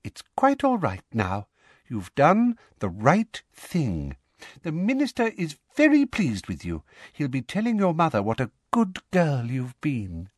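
A man voicing a smug female official in an audiobook, soft and condescending with a falsely kind manner. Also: fairly high pitch, wildly swooping pitch, moderate pace, no room sound, studio quality, pitched above the performer's own voice. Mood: silky, falsely soothing. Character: silky, smug, sickly-sweet middle-aged female official.

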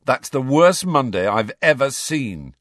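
A grumpy teenage schoolboy from a storybook , voiced by a man reading. He talks bluntly, with lively swings in a raised pitch, recorded dry. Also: a little slow, no echo. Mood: grumpy, groaning.

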